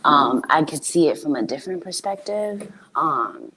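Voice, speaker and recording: A young woman from Haiti calling in to a show, speaking hesitantly with frequent pauses and ums. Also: sits low, sing-song, slight background noise, rough recording. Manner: hesitant, many ums, soft-spoken. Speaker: caller.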